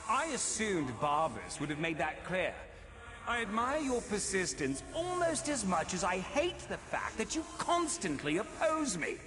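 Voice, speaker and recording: Fantasy game villain: vengeful male demon lord, silky and menacing, very animated delivery, long echoing reverb. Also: high-pitched, slight background noise, heavily acted. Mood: grudging. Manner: theatrical, silky, menacing, deliberate. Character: scheming, vengeful male daedric prince, theatrical and menacing. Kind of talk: scripted fantasy video game acting. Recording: long cavernous reverb, game ambience behind.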